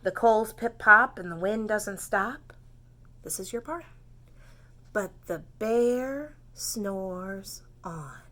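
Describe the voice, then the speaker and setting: A woman teacher reads a picture book aloud to preschool children at a very slow, patient pace. Her voice is bright and somewhat high, and she often sinks into soft whispers. She invites the listeners to read along with her.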